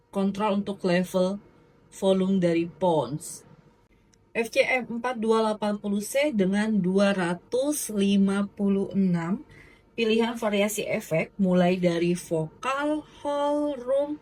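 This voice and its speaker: A woman's voice: mid-range voice, darkish tone, low-quality recording. A woman narrating a product demo in an explanatory, instructional way. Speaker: product presenter.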